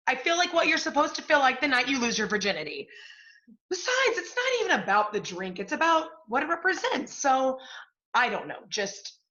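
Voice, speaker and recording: Brash female agency boss, played by a stage actress: forceful, highish, profane and pushy, theatrical delivery, dulled recording. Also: highs cut off. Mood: giddy. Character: brash, scheming, fast-talking literary agency boss woman. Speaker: stage actor. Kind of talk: theatrical one-act stage play acting.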